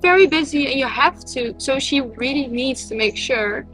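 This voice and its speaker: A woman's voice: high-pitched, lively intonation, normal speed, a bit bright, soft background music. A woman from the Netherlands talking casually as a co-host in a conversation. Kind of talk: casual chat.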